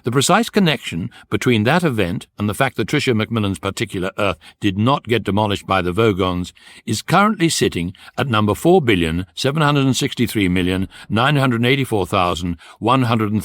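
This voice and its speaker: An actor playing a knowing guidebook narrator, droll and dry in scripted comic radio storytelling. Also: male voice, fairly low pitch, a bit bright, no echo.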